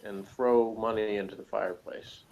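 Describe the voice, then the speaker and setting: A man's voice: fairly low pitch, steady tone, moderate pace, vocal fry, a little mellow. A man with an American accent chatting casually and ramblingly as a panelist. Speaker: podcast panelist. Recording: dull lo-fi audio.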